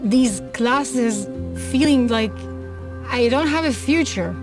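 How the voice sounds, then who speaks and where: A woman from Israel telling her personal story in an earnest, measured way. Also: a little high, expressive. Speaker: speaker in a personal testimony video. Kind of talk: personal testimony. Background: background music.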